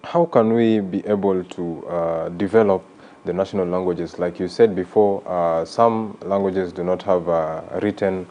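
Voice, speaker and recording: A man hosting a radio talk show, listening with steady murmurs and asking long, measured questions. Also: low-pitched, highs cut off. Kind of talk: radio interview conversation.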